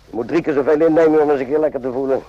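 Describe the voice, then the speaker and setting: An actor playing a cynical, world-weary army sergeant in a radio drama, offhand and sarcastic, in short clipped phrases. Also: male voice, mid-range voice, racing, natural voice. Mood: cynical, teasing. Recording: muffled lo-fi old radio recording.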